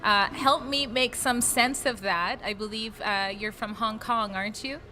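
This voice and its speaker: A woman interviewer asking measured, probing questions. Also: on the high side, fairly even intonation, sharp tone. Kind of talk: news interview. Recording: clip with noisy ambience.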